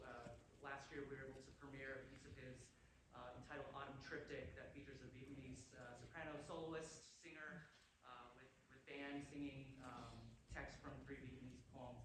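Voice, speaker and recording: A man as guest conductor, speaking informally to a concert audience from the stage with frequent restarts. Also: mid-range voice. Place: large concert hall. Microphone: distant hall microphone. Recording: echoey concert hall from far off with noise.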